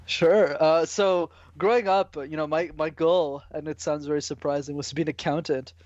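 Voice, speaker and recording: A man from Canada talking through his answers in a relaxed, casual way, with a bit of slang. His voice sits a touch high, and the audio is dry and muffled, as if from a remote call. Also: normal speed, no echo. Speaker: guest, public speaking coach. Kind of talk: conversational interview answers.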